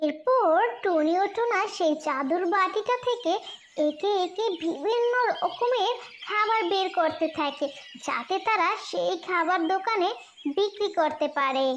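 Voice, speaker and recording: A woman's voice: extremely high pitch, expressive, unhurried, slight background noise. A woman narrating a scripted fairy tale for children as a storyteller.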